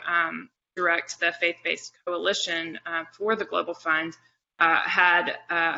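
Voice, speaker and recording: Woman webinar panelist, very bright forceful voice with plenty of fry, on dull call audio. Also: on the low side. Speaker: faith advocacy organizer, panelist. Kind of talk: webinar presentation. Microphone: video call.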